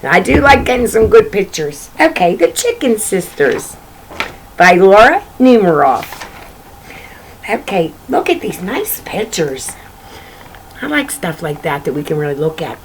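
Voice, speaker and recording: A woman's voice: mid-range voice, big pitch swings, measured pace, a little hiss or hum, low-quality recording. A woman hosting a read-aloud for children, chatting directly to her young listeners with asides and repeated phrases as she reads a picture book. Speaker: children's story reader and host.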